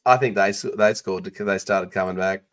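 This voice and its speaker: A man with an Australian accent hosting a casual sports podcast, with quick bursts of enthusiasm when he greets guests. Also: low voice, steady tone. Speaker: podcast host.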